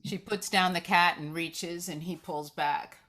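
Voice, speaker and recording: A woman's voice: lowish voice, fairly even intonation, a little slow, bright, forceful tone. A woman reading screenplay stage directions as scripted narration.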